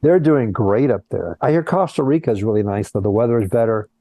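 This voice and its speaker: A man's voice: fairly low pitch, lively intonation, a little fast, warm tone, no room sound. An older man who is a plastic surgeon, talking earnestly in a conversational interview over a video call and often restarting his words. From born in Colombia, raised in Brazil and the US.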